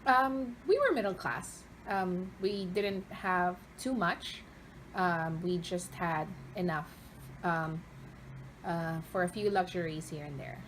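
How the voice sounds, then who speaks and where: A woman speaks very slowly, in a slightly low voice. She answers as an interviewee, conversationally, with frequent hesitant pauses. There is faint noise in the recording. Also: rough recording. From Philippines, Cavite province. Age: 30s. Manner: hesitant, pausing often mid-phrase.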